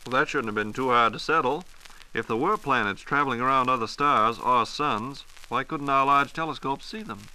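Very sharp, forceful American man, radio questioner. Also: medium pitch, moderate pace, poor audio. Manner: inquisitive, playful layman. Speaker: radio host asking questions. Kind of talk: scripted 1940s radio dialogue.

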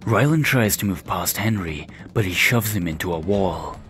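A man narrates scripted action for an audio drama. His voice is notably deep. Light music plays behind him. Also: animated, normal speed, a bit bright.

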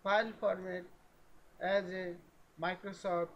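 A man narrating a step-by-step software tutorial in short, halting phrases. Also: high voice, level tone, muffled recording.

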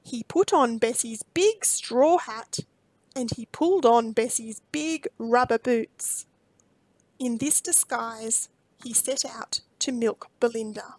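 Casting: female picture-book narrator, high pitch, unhurried, deliberate dramatic emphasis. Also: darkish tone, no room sound. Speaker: children's storybook reader. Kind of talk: expressive read-aloud storytelling.

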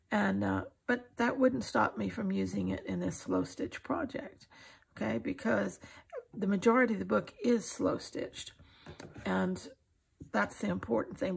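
Gravelly, husky older woman. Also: medium pitch. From United States. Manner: unscripted, folksy, encouraging. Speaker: craft tutorial host. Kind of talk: informal hands-on craft demonstration talk. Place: indoors at a craft table.

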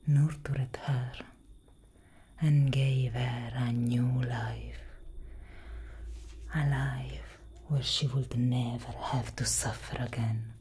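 Woman acting a gothic vampire elder sister, breathy ASMR whisper, slow, dark soft tone, protective, dramatic, faint ambience behind. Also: a bass voice, little pitch movement, a little hiss or hum, heavily acted. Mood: tender, sorrowful.

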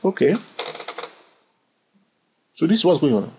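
A man teaching a chart lesson over his screen, animated and full of rhetorical questions, chuckling now and then. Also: fairly high pitch, unhurried, slightly rough. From lives in London. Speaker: trading educator. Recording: dull lo-fi sound.

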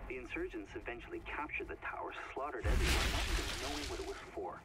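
A man's voice: animated, quick pace, close to an everyday voice. Guy voicing grave worn-out army captain in a video game, stubborn, lots of noise behind him. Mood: bitter, weary. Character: battle-weary male resistance captain, grave and stubborn. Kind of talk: dramatic video game dialogue. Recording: loud game ambience and rumble behind.

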